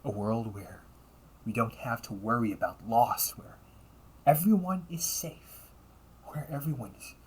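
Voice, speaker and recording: A man voicing a cunning, condescending villain and older brother in an audio roleplay, taunting and theatrical, often dropping toward breathy near-whispers. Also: medium pitch, unhurried, a little hiss or hum, close to an everyday voice, low-quality recording. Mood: cold, self-righteous. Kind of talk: audio roleplay monologue addressed to the listener.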